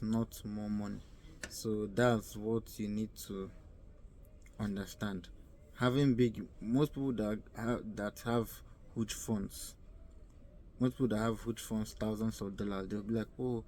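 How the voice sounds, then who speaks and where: A young Nigerian man with a low, mellow voice talks through casual advice, earnest and repetitive, often stopping to hunt for the right words. His pitch stays flat and even, with a faint hum of ambient noise behind him. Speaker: vlogger.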